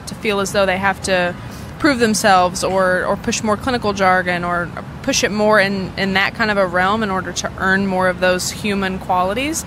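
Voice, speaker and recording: A woman physical therapist in an outdoor interview, thoughtful and searching as she explains her ideas. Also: medium pitch. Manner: thoughtful, searching, with fillers and restarts. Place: outdoors in a city, kids playing nearby. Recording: street noise behind.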